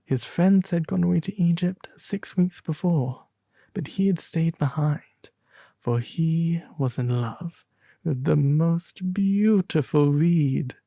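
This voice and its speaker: Guy doing a posh pompous demon prince for asmr storytime, soft n mellow, takes his time w every word. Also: fairly high pitch, creaky, dry recording, studio quality, full character voice. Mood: gentle, storytelling. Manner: pompous, refined, drawn-out delivery. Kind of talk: ASMR roleplay storybook reading.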